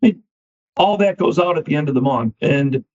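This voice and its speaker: A man, a finance company CEO, answering interview questions with a slightly scratchy voice, measured and often repeating words as he starts a thought. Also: on the high side, animated, a little mellow. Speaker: equipment finance CEO, guest. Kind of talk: business podcast interview. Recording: dull lo-fi sound.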